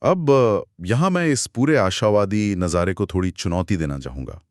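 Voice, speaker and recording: Animated, lowish-voiced Indian man asking questions. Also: moderate pace, no echo, studio quality. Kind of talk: scripted conversational podcast discussion.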